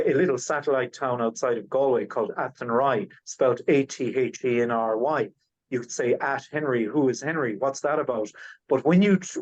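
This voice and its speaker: A man's voice: mid-range voice, poor audio. A man with an Irish accent, an Irish speaker from the west of Ireland, presenting as a tour guide in a rambling way full of fillers and restarts. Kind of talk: webinar presentation.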